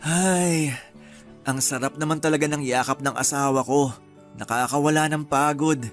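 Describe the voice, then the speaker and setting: Calm, affectionate Filipino husband, played by a man. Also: fairly high pitch, moderate pace, loud music behind the voice, lightly acted. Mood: tender, concerned. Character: tired, affectionate, calm young husband in radio drama. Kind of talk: Filipino radio drama acting.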